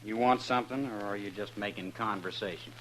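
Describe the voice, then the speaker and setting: An actor playing a bitter, defiant young jailed outlaw in a 1950s radio western, terse and sullen in delivery. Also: male voice, on the low side, brisk, fairly bright tone, close to an everyday voice. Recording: noisy 1950s radio recording with hum.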